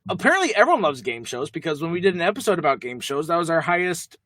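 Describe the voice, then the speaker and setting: A young man co-hosting a podcast, talking quickly in a rambling, jokey way. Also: fairly high pitch, very animated, fairly bright tone, no room sound. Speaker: podcast co-host. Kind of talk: casual chat.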